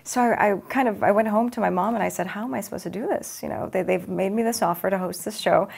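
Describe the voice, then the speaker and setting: Guest TV anchor, female, young adult, Farsi native, chatty and upbeat, gravelly, mid pitch. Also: fast, darkish tone. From Los Angeles. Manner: upbeat, chatty, frequent ums. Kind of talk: interview answers.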